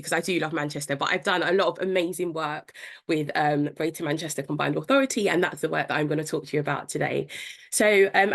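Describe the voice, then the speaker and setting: A woman's voice: sits low, creaky, a bit bright. A woman giving a webinar talk, sounding congested from a cold, in a friendly conversational way. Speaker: guest speaker. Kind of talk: webinar presentation.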